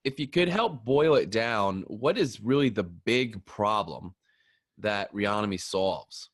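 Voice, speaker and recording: A man with a lowish voice, hosting a podcast in a casual, chatty way and joking with his guest. The recording is dry and close, with little room sound. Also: expressive, slow, no room sound. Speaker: podcast host. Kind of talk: casual interview chat.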